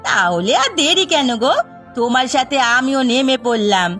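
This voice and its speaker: A woman performs a middle-aged village wife in a cartoon. The voice sits high and the tongue is sharp, scolding and sarcastic. Light music is underneath. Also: sing-song, moderate pace, exaggerated voice. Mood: mocking. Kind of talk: cartoon dialogue.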